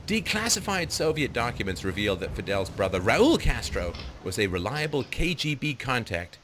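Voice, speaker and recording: Sardonic man over loud game noise. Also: very animated, moderate pace. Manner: sardonic, mocking quotes in exaggerated high voices. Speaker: commentator. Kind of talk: sarcastic political monologue over gameplay.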